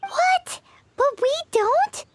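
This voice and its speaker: A woman's voice: very high voice, expressive, drawn-out words, heavy background noise, exaggerated voice. A woman voicing a tiny, childlike floating companion creature in a video game. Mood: eager, surprised.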